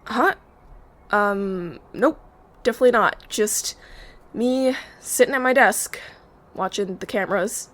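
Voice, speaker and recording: Casting: young woman played by a female actor, medium-pitched, guarded night guard in an audio drama, hesitant yet firm. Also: measured pace, pauses often, a bit bright, lightly acted. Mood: evasive. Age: young adult.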